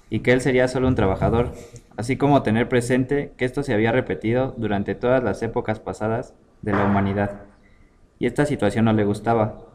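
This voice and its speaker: A man's voice: medium pitch, a little mellow. A man reading a scripted short story aloud as its narrator.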